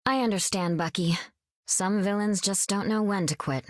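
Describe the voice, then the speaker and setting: An actress playing a calm, steady female superhero and wife in a video game, warm and reassuring. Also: mid-range voice, moderate pace, dry recording, clean studio sound, close to an everyday voice.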